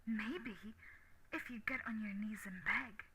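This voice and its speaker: Woman acting a slow, menacing yandere bully. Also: mid-range voice, heavy background noise, natural voice. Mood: cruel, taunting. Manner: taunting, threatening, breathy drops into whisper. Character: tough sadistic yandere bully, obsessive, menacing young woman. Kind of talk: dramatic roleplay audio acting.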